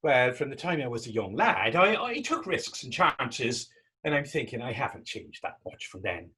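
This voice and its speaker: Male actor as Irish former fisherman, husky, very animated pitch, talkative, folksy, halting, gentle wry humor, stage drama. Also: heavily acted. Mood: wistful, chatty. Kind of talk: stage readers theater dialogue.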